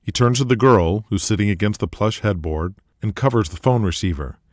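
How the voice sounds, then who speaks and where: A man's voice: on the low side, lively intonation, brisk, no echo, studio quality. A man reading scripted dramatic narration for an audio drama.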